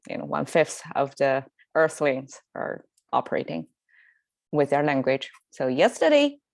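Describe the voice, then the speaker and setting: A woman's voice: low voice, creaky, dry recording. A woman whose first language is Chinese, hosting a casual online lesson on Chinese words in a chatty, conversational way. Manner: chatty and conversational, with fillers and small laughs. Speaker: language teacher and host. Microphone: video call setup.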